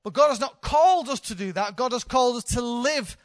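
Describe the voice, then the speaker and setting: A woman's voice: a little high, expressive, fairly quick, no room sound, studio quality. A woman in her thirties, a church leader, giving an informal, candid sermon with self-deprecating humour. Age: 30s.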